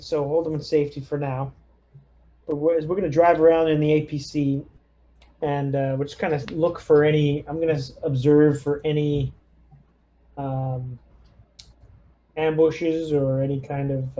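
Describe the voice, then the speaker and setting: A man with a mellow, somewhat high voice plays a tabletop roleplaying game. He talks casually and gives directions in an easygoing manner. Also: little pitch movement, unhurried, long pauses. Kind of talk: casual in-character game chat.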